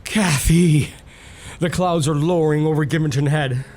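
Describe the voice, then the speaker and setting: A man's voice: on the high side, steady tone, moderate pace, a little mellow, lightly acted. An actor playing a bitter, proud young stable boy in love, intense and brooding, in a period audio drama. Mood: tender, yearning.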